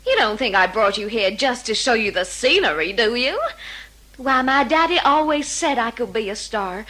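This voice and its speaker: Vain female would-be star: sugary, dangerous, sharp-toned, wildly melodic delivery, faint noise. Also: on the high side, lively intonation, moderate pace, low-quality recording. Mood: sweetly smug. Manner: sugary, self-dramatising, honey-calling. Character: vain, menacing, theatrical would-be star woman. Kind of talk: sci-fi audio drama acting.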